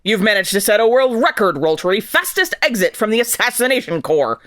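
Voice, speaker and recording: A woman playing a gruff, sarcastic female military captain in an audio drama, barking and mocking theatrically. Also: medium pitch, very animated, a little fast, fairly bright tone, dry recording, studio quality.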